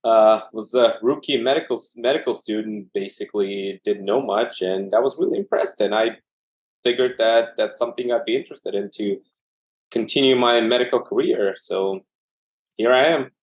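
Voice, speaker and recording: Low-voiced Russian-born man telling his story. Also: moderate pace, rough recording. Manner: relaxed storytelling with frequent fillers. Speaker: anesthesiologist guest. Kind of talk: casual interview conversation.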